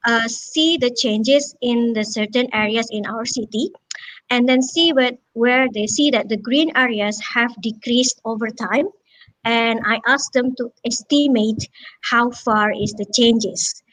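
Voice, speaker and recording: Female guest teacher, Indonesian accent, slow halting delivery, higher pitch, close dry headset sound. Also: a bit bright, no echo, poor audio. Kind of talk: informal explanation on a live webinar. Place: indoor room, video call.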